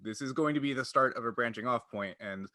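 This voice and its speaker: A man with a bright, forward voice and a middling pitch talks as a podcast host. He explains things in a loose, conversational way, slipping into quoted lines and leaning on "like." The sound is dry. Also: a little fast, no echo. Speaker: podcast host and game master. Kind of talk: casual explanatory podcast chat.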